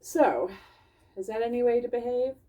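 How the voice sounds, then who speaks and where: A woman voicing a wise female red fox in a children's storybook, measured and gently admonishing. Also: a little high, far from the mic, natural voice. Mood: firm, approving.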